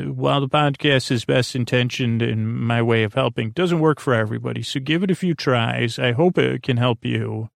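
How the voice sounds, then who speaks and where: Creaky-voiced man rambling a bedtime monologue. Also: mid-range voice, dry recording, clean studio sound. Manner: meandering, hesitant, drifts off into tangents. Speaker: host of a bedtime sleep podcast. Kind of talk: rambling bedtime monologue meant to lull listeners to sleep.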